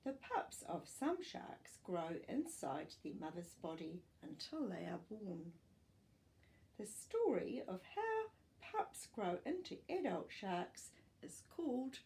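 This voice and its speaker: An older woman hosting a children's storytime, reading non-fiction aloud with expressive, clear phrasing and explanatory asides for young listeners. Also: medium pitch. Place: small furnished living room, indoors.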